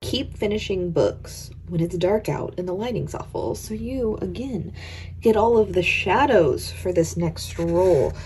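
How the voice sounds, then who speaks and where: Hoarse young woman book vlogger, low-ish voice, unhurried casual chat, faint hiss behind. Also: lively intonation, darkish tone, low-quality recording. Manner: chatty, counts dice rolls aloud. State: hoarse, recovering from illness. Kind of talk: casual reading wrap-up vlog. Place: home room at night.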